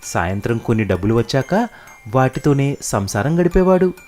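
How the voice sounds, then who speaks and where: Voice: medium pitch, very animated, moderate pace, darkish tone. A narrator reading scripted moral stories for children.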